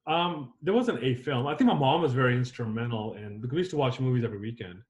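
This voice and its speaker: A man's voice: mid-range voice, lively intonation, fast. A man in his thirties, born in Zambia and raised on the US East Coast, talking reflectively as an interview guest, with many fillers and restarts. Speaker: filmmaker guest. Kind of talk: casual interview conversation.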